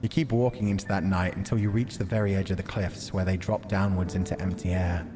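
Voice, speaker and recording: A man's voice: low-pitched, little pitch movement, brisk, background noise. A man hosting a game stream, reading visual novel narration aloud and chatting casually about it. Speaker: livestream host playing a visual novel.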